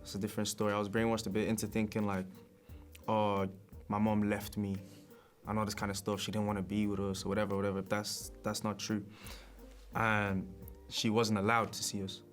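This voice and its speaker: Low, flat-voiced young man. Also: a little fast, soft background music. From Nigeria. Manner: relaxed, reflective, chuckles often. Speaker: rapper. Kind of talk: documentary interview.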